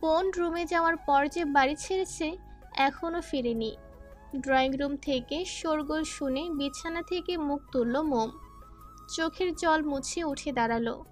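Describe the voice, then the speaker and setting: High-pitched woman narrating a story. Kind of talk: scripted dramatic story narration. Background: background music.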